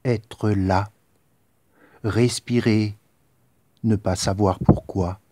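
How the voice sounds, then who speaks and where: Man, solemn poetry recitation, very slow pace, soft mellow tone, measured dramatic pauses. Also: on the low side, fairly even intonation, no room sound. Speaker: poet reciting.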